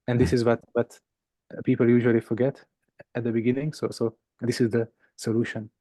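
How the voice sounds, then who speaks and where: A man's voice: medium pitch, flat delivery, brisk, dark tone, no echo. A man with a Hungarian accent, a native Hungarian speaker, patiently explaining game puzzles informally and rephrasing as he goes. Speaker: game teacher and presenter.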